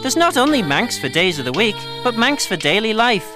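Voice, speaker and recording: A woman reads an upbeat radio promo. Her pitch swings a great deal as she tries to win listeners over. Loud music plays under her voice the whole time. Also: sing-song, a little fast. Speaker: promo announcer.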